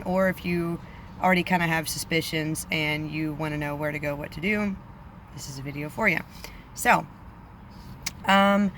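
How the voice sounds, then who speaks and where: A woman's voice: on the low side, slight background noise. A woman giving casual parenting advice on camera, her voice slightly off from allergies, with many ums. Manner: chatty, many ums, flat delivery. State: voice a bit off from allergies. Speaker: vlogger, parent.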